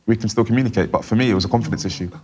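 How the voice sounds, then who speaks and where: A man, voicing a deaf guest's answers in first person as a sign language interpreter, in steady, flowing short clauses. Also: lowish voice, very fast.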